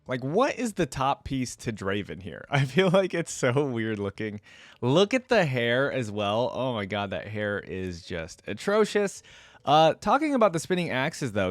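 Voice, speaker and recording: A man giving casual reaction commentary as a video host, with an animated, informal and exclamatory way of talking. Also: mid-range voice, no room sound.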